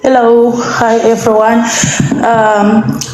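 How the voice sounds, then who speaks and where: A woman's voice: a little high, flat delivery, slow pace, darkish tone, faint music behind. A woman from Zimbabwe speaking scornfully and without hurry on a social media livestream. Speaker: livestream participant. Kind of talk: casual livestream complaint. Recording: echoey room with faint noise.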